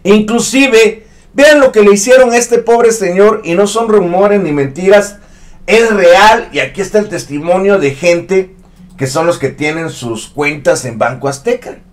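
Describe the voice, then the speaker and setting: A man's voice: sits high, unhurried. A man in his thirties hosting an online news commentary show, speaking with emphatic indignation. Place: studio set with a news backdrop.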